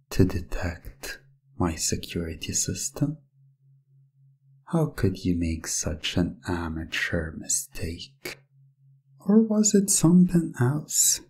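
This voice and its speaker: Male actor as smug hypnotist villain for ASMR roleplay; husky, soft delivery with swooping, theatrical, drawn-out phrasing. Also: lowish voice, heavily acted. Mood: mocking, then teasing. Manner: slow, deliberate, theatrical, condescending, with drawn-out words. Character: smug, menacing male gem owner, hypnotically taunting a caught thief.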